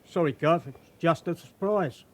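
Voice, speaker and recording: An actor playing a wary, cheeky street informant in a radio drama, with a London accent and a grudgingly deferential manner. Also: male voice, fairly high pitch, normal speed, close to an everyday voice. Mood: indignant, cheeky. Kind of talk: naturalistic British radio drama acting. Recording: noisy street scene with vehicles.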